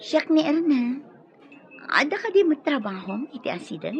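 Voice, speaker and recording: A woman's voice: high-pitched, big pitch swings, normal speed, a little hiss or hum. A coy, scheming young Ilocano woman. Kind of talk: emotional radio drama acting. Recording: dull lo-fi radio sound, music behind.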